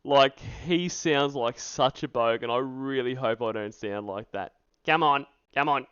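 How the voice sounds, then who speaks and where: A man's voice: medium pitch, measured pace, fairly bright tone, clear, resonant tone, no room sound, lo-fi sound. An Australian man chatting casually and rambling as he hosts a livestream, with an amused, crude way of joking. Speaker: streamer hosting a reaction stream.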